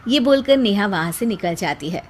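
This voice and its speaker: A woman's voice: medium pitch, expressive, normal speed. A woman narrator reading a scripted moral story for a cartoon.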